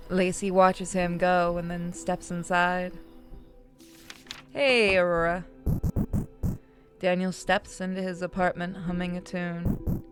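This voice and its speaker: A woman reading a visual novel aloud as narrator, with casual asides. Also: lowish voice. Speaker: game streamer narrating. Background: music.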